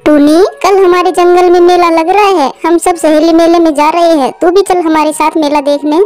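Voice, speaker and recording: A woman's voice: very high voice, fairly even intonation, normal speed, darkish tone, soft background music. A woman voicing a bossy, teasing young girl bird in a cartoon. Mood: bossy, excited.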